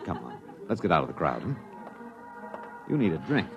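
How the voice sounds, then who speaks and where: An actor playing a reassuring but impatient husband on the run in a 1950s radio drama, brisk and coaxing. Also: male voice, a bass voice, sing-song, highs cut off, full character voice. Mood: soothing, confident. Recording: old radio, music and crowd behind.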